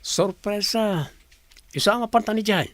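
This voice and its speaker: An actor playing a casual, chummy young office worker in a radio drama. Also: male voice, sits high, unhurried, fairly bright tone.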